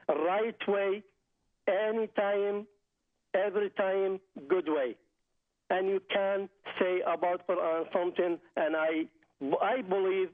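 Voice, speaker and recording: A man from Iraq with an Iraqi Arabic accent, a native Arabic speaker calling in to a TV show in broken English, earnest and interrupting. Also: high-pitched. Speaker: caller. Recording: tinny phone line.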